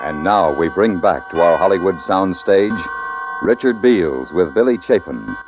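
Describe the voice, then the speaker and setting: A man's voice: sits low, animated, unhurried. A man announcing in a formal, dramatic 1950s radio style. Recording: phone-like old radio broadcast, loud music.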